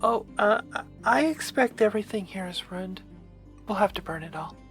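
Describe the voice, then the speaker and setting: A woman's voice: mid-range voice, lively intonation, moderate pace, vocal fry, fairly bright tone, faint music behind. A woman playing a nervous, deferential servant to a vampire princess, hesitant and flustered, in an ASMR roleplay.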